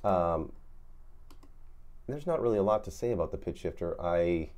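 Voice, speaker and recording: A man's voice: low voice, lively intonation, moderate pace, a little mellow. A man giving an off-the-cuff, casual guitar effects tutorial, laid-back and rambling as he searches for words. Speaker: guitar effects demonstrator, host.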